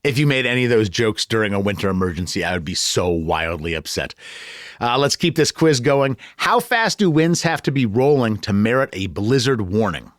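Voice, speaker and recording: A man's voice: lowish voice, big pitch swings, normal speed, dry recording, clean studio sound. A man hosting a news podcast in a conversational style. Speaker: podcast host.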